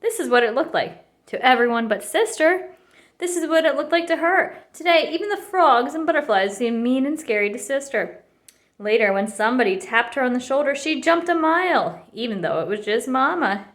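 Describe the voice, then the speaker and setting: A woman reading a children's picture book aloud with expressive narration. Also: high-pitched, moderate pace. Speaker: storybook reader.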